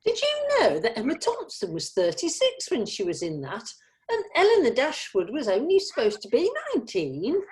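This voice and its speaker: An actress playing a genteel, condescending devotee, polite and patronising, in a comedy script read. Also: female voice, on the high side, wildly swooping pitch, moderate pace, darkish tone. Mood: affronted.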